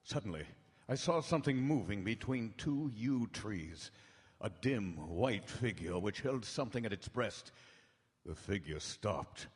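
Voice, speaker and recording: A grave doctor telling a dark tale in old-time radio drama style. His phrasing is measured and dramatic, his voice husky, with the long reverb of a live hall. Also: on the low side, expressive. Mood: grave dread. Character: grave doctor recounting vampire horrors from his journal. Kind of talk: live radio drama narration in 1930s-40s manner.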